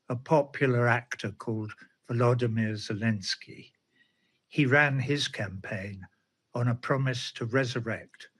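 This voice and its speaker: A male commentator talks through a spoken essay, unhurried and deliberate. His voice is husky and mid-pitched. He is sardonic and keeps posing rhetorical questions.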